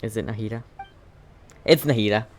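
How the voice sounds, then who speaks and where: A woman's voice: rumbling low, a little fast. A woman streamer chatting casually and playfully over gameplay, giggling and reacting. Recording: voice over game with music.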